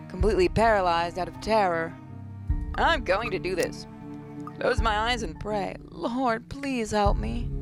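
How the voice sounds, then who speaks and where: Creaky-voiced woman narrating a horror game. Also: mid-range voice. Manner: theatrical narration, joking asides. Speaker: gaming video host. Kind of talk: dramatic read-aloud of horror game text with casual asides. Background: game music.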